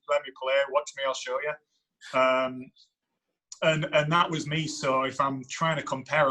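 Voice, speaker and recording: A deep-voiced man in his thirties talks through his coaching experience on a podcast with a non-American accent. His tone is bright and forceful, yet he thinks aloud as he answers, weighing each point. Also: low-quality recording. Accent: foreign to the United States. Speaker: women's soccer head coach.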